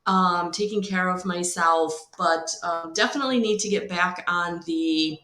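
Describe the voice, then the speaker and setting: A woman oracle card reader chatting casually on a live stream, her voice deeper than usual and congested from a cold, rambling with many ums. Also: measured pace, bright, forceful tone, echoey room. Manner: rambling, many ums, greets viewers by name.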